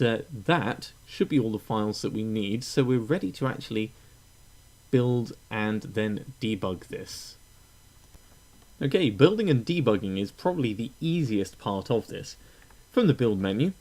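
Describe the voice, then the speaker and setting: Low-voiced man giving a casual tutorial. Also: a little mellow. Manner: informal, explanatory. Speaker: tutorial presenter. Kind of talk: casual step-by-step software tutorial narration.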